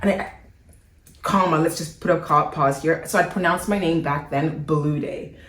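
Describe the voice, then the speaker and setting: A Nigerian-born woman with an accent that blends American and Nigerian English, a guest telling her story candidly with quick asides. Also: low voice, a bit bright. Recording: echoey room.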